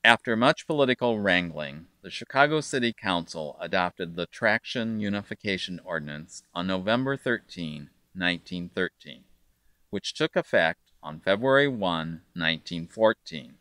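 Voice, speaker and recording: A man reading scripted documentary narration in a measured, factual way. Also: low voice, lively intonation, bright, forceful tone, no echo.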